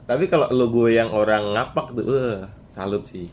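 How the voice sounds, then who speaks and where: A man's voice: mid-range voice, moderate pace, a crowd in the background. Hesitant young man with a Javanese accent. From Java. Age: young adult. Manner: hesitant, searching for words. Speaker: podcast co-host. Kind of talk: casual chat.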